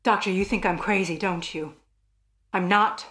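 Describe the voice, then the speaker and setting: A woman's voice: mid-range voice, fairly even intonation, moderate pace, fairly bright tone, lightly acted. A woman playing an anxious married wife in a radio thriller, speaking earnestly and dramatically, as if fearing for her life. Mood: insistent. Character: anxious married woman convinced her husband plans murder.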